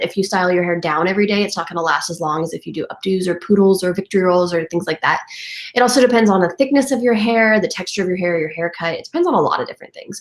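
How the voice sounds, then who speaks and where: A woman's voice: on the low side, reverberant. A young woman with a stuffy, congested voice from a cold, chatting casually and cheerfully at home while she answers viewers' comments with lots of fillers. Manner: chatty, upbeat, many fillers. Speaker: vintage lifestyle vlogger.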